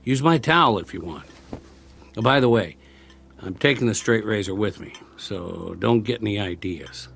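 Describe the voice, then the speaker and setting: An actor playing a war-weary, talkative soldier in a radio drama, rambling and wry, lonely and eager to please, stammering when eager. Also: male voice, fairly low pitch, lively intonation, normal speed, vocal fry, fairly bright tone, slight background noise. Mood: sheepish, friendly.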